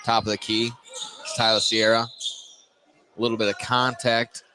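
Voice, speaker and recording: A man's voice: mid-range voice, little pitch movement, normal speed, background noise. A man doing live radio play-by-play of a basketball game as the announcer, courtside in a gym.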